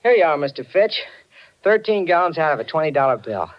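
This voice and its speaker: An actor playing a chatty, sheepish garage attendant with a hesitant, rambling manner in a 1940s radio mystery. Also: male voice, a little high, big pitch swings, brisk. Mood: friendly. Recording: slightly muffled old radio recording.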